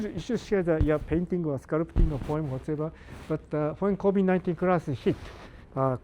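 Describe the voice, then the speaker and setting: An older man giving a live lecture in halting, non-native English, pausing often and putting questions to the audience. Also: a little high, warm tone, a little hiss or hum. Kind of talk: live academic talk to an audience.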